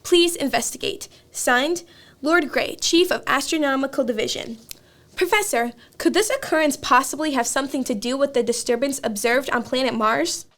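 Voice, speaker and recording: A woman's voice: high-pitched, moderate pace, a bit bright. A woman playing a courteous, eager radio commentator in a radio play, announcing formally to listeners. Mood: formal, urgent.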